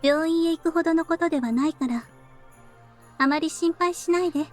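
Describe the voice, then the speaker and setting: High-voiced, weary, gentle young woman. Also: rapid-fire. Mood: calm, gentle. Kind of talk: Japanese visual novel voice acting. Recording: background music under the voice.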